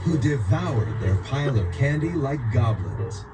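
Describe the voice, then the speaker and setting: A man narrating a scripted children's story in an expressive storytelling manner. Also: mid-range voice, measured pace. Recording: loud music behind narration. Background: music.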